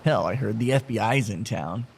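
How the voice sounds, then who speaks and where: A man's voice: lowish voice, expressive, moderate pace, vocal fry, a little hiss or hum. A man playing an earnest, questioning and sarcastic police officer in a naturalistic audio drama. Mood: skeptical. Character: restless, questioning male beat cop, earnest and sarcastic.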